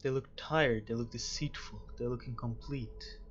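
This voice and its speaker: A man's voice: mid-range voice, fairly even intonation, moderate pace. A man giving wry, casual let's play commentary, reacting aloud to a video game in asides. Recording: dull lo-fi mic, faint music behind.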